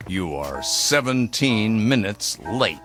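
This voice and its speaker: An actor playing a jovial, pompous boss cutting loose over drinks, with an expansive, playfully grandiose theatrical delivery. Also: male voice, fairly low pitch, sing-song, slow pace, over loud music. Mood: stern. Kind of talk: theatrical radio drama acting.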